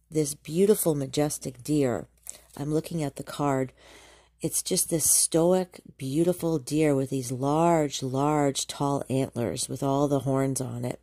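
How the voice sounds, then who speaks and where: A woman doing a tarot reading as a medium, talking softly with many pauses and restarts, a little congested and nasal. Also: sits low, dry recording, clean studio sound. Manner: soft, searching, with many ums and restarts. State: congested, slightly nasal from allergies. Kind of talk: spontaneous tarot reading to camera.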